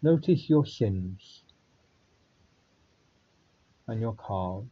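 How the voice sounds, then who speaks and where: A man guiding a body scan meditation in a calm, unhurried way with long pauses. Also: on the low side, husky, dull audio. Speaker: meditation guide.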